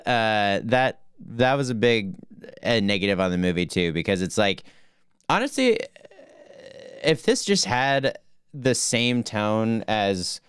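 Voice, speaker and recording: A man's voice: on the low side, clean tone, no echo, studio quality. A young man co-hosting a podcast, laid-back and laughing often in casual chat about a movie. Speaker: podcast co-host.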